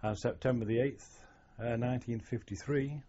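A man's voice: mid-range voice, steady tone, moderate pace, dark tone, slightly rough. Older Englishman reminiscing on dull archive tape. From England. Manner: reflective, storytelling, wry. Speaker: oral history interviewee, former navy sailor. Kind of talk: reminiscing oral history interview.

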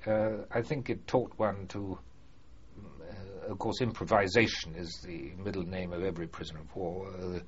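An older man being interviewed about his past, speaking formally and hesitantly with many pauses and fillers. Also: low-pitched, fairly even intonation. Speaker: former prisoner of war, interviewee. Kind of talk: oral history interview. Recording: muffled archive recording with faint hiss.

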